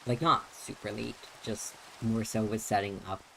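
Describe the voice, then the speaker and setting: A man's voice: fairly low pitch, flat delivery, normal speed, gravelly, pushed and bright, slight background noise, rough recording. A young man streaming a game, chatting casually in a neutral, feminine-leaning voice, rambling with many restarts and fillers. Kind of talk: casual live-stream chat while playing.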